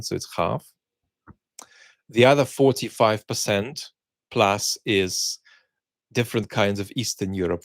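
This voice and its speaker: A middle-aged man, born in the Soviet Union with Russian as his first language, musing aloud as he answers viewer questions, pausing often with ums. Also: on the low side, dry recording, clean studio sound. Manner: measured, thinking aloud with many ums and pauses. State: unwell, speaking while cared for. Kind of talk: reflective solo chat.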